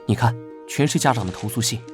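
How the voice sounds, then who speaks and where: An actor playing a guarded, prickly young knight vice-captain who speaks curtly and earnestly, in an animated drama dub. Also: male voice, medium pitch, fast, lightly acted. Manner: curt, wary and earnest. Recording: background music under dialogue.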